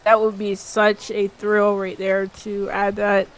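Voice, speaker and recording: A woman's voice: mid-range voice, completely flat delivery, slow pace. A woman doing upbeat, chatty color commentary, full of fillers and easy laughter. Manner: upbeat, chatty, laughs easily, lots of likes. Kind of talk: casual sports commentary banter.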